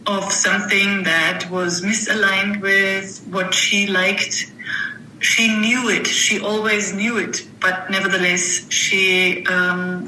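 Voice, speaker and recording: Bright-voiced woman in a roomy space. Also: mid-range voice. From Germany. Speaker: interview guest, author and coach. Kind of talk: reflective interview conversation. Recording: roomy echo, faint background noise.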